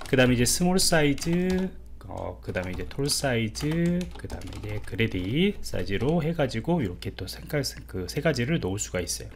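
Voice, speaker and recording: A man teaching a programming lesson over a screen recording, explaining in a relaxed way with fillers and rhetorical questions. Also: mid-range voice, normal speed, creaky, hardly any pauses, a little hiss or hum. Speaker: programming instructor. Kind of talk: screen-recorded coding tutorial.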